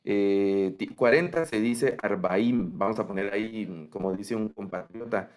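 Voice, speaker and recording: A man's voice: on the low side, a little slow, darkish tone. A man with a Latin American Spanish accent teaching a Bible language class online, explaining patiently and often checking in with his students. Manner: patient, explanatory, frequent "¿verdad?" check-ins.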